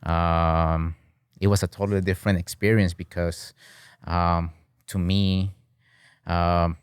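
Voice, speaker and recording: A deep-voiced man in his thirties from Peru talks as a guest on a podcast. His voice is smooth and clean, and the sound is close and dry. He rambles in a relaxed way and keeps checking in with "you know?" and "right?", now and then slipping into Spanish. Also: slow pace, dry recording. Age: 30s. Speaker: guest, bartending business owner. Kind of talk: casual interview chat. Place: podcast studio with flags on the wall.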